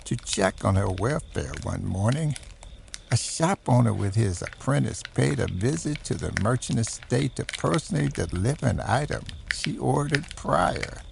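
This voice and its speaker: A man's voice: mid-range voice, animated, moderate pace, vocal fry, background noise. A man reading a scripted horror history as a documentary-style narrator.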